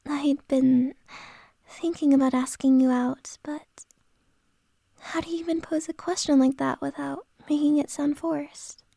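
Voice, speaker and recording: A woman playing a flustered boss who confesses her feelings to an employee in an ASMR roleplay. She speaks in a soft, hesitant whisper, close to the mic. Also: sits high, steady tone, a little slow, creaky, no room sound. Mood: tender, flustered.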